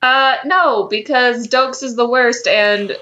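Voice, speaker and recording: A woman co-hosting a podcast, chatting casually about a TV show with halting, hesitant phrasing. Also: a little high, pushed and bright, lo-fi sound. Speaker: podcast co-host.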